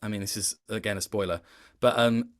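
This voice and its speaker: A man with a fairly low-pitched voice speaks as a guest in a relaxed interview. He thinks aloud in a reflective, hesitant way, feeling his way through each thought. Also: a little fast. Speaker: guest, game voice actor. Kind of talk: casual interview.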